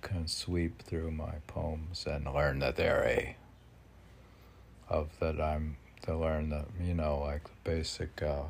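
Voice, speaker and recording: A man with a gravelly, husky voice reading his own poems, with halting, self-deprecating commentary in which he talks back to himself. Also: extremely low pitch, level tone, slight background noise. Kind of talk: poem reading mixed with rambling self-commentary.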